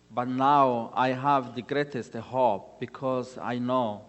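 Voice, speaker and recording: Man, Rwandan accent, church testimony, earnest, unhurried halting phrasing, long echoing hall. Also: mid-range voice. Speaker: guest speaker giving testimony. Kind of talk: church testimony with scripture readings. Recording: reverberant hall with long echo.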